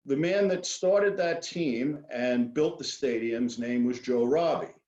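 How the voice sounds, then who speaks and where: A man's voice: medium pitch, slow, a little mellow. A man with a New York accent giving an informal financial seminar talk as a guest speaker, with folksy, joking asides. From Queens, New York. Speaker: guest speaker, wealth management expert. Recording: dull lo-fi audio, roomy echo.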